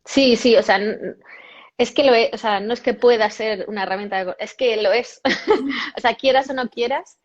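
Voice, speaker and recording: A woman's voice: a little high, moderate pace. A woman, a yoga cartoonist, chatting casually as an interview guest, correcting herself often and laughing now and then. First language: Spanish. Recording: dull lo-fi audio.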